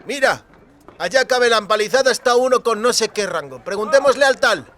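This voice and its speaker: Audiobook role: boisterous drunk country lad, very high strained voice, bright and forceful, rustic speech. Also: male voice, animated, brisk, full character voice. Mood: eager, friendly. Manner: exclamatory, colloquial rustic speech with clipped words. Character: drunken, boisterous young cooper, rustic and friendly.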